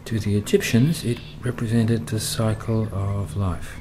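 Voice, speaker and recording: Australian man narrating, slow brooding radio play read, low voice. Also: steady tone, a little mellow, a little hiss or hum. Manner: brooding, measured.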